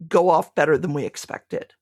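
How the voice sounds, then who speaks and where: A woman's voice: deep voice, moderate pace, a little mellow, dry recording, clean studio sound. A woman being interviewed, speaking reflectively and conversationally, often restarting her phrases mid-thought. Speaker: podcast creator being interviewed.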